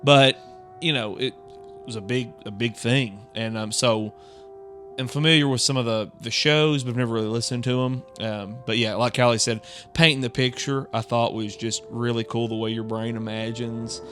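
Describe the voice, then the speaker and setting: A man's voice: mid-range voice, steady tone, normal speed, fairly bright tone, soft background music. A man from the Southern United States co-hosting a podcast in casual chat. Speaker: podcast co-host.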